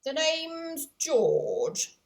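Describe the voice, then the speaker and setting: A woman's voice: high voice, huge pitch swings, very slow, a little mellow, pitched above the performer's own voice, exaggerated voice. A woman voicing a pompous, self-important short man, a shopkeeper with colloquial, ungrammatical speech, in an audiobook. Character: pompous short human shopkeeper, a self-styled magical expert. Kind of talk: audiobook character voice.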